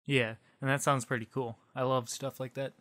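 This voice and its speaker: A man's voice: mid-range voice, little pitch movement, moderate pace, fairly bright tone. A man co-hosting a podcast in casual, conversational chat. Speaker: podcast co-host.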